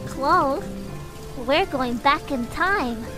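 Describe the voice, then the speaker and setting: A woman playing a kindly young caretaker with a gentle, coaxing manner in a comic audio drama. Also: high voice, animated, very slow, heavily acted. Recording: rain and music behind.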